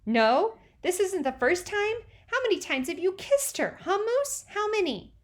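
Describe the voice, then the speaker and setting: A woman voicing a bossy seven-year-old girl who scolds loudly and draws out her words. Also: very high-pitched, sing-song, pitched above the performer's own voice, exaggerated voice. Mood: shrill scolding. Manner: loud, scolding, drawn-out words.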